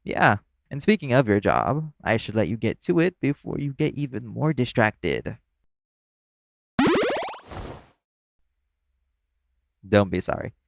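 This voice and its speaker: A man's voice: medium pitch, sing-song, moderate pace, gravelly, no room sound, studio quality. A man playing a flirtatious, easygoing nightclub coworker in a casual, teasing audio roleplay. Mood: playful, flirtatious. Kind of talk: audio roleplay acting addressed to the listener.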